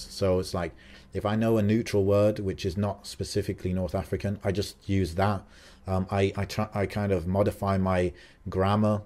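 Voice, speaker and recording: A young man with a somewhat low voice talks through a podcast conversation at length. He rambles casually, full of "like" and "um", restarting his sentences as he goes. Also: a little mellow. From Algerian. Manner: talkative, many fillers and restarts. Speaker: podcast co-host.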